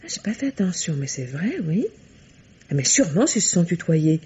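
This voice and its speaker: A woman's voice: fairly low pitch, very animated, normal speed, warm tone, slightly rough, full character voice. An actress playing an evasive, coquettish woman suspect in a 1950s-style French radio drama, speaking hesitantly and coyly. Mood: flustered. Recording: dull, lo-fi vintage radio recording.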